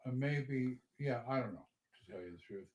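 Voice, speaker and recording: A man's voice: mid-range voice, expressive, moderate pace, a little mellow, dull audio. Easygoing joking man from Oklahoma. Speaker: guest. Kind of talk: casual chat.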